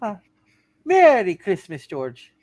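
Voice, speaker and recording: A woman's voice: mid-range voice, wildly swooping pitch, slow, darkish tone, no room sound, heavily acted. A woman playing cheery small-town women in a hammed-up amateur Christmas radio play reading, with a playful manner. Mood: cheerful. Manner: playful, giggly.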